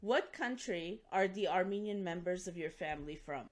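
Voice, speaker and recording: A woman's voice: on the low side, animated, normal speed. Woman host reading a formal introduction. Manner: formal, reads prepared introduction. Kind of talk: scripted introduction and interview.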